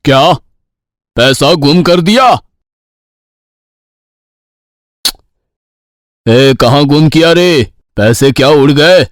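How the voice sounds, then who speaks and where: A man's voice: a little high, lively intonation, slow, dry recording, studio quality. A man voicing an exasperated, grumbling village father in a Hindi cartoon story, scolding and doubtful. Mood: angry, exasperated.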